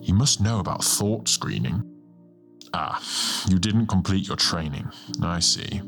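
A man voicing a serene, ancient male star guardian, a measured and lightly amused mentor in an audiobook. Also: low voice, lively intonation, creaky, heavily acted. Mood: casual, explaining. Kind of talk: audiobook character dialogue. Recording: music bed under the voice.

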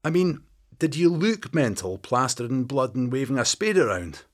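A man voicing a wry, teasing Scottish detective past forty with a deadpan humour. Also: medium pitch, moderate pace, voice pushed up.

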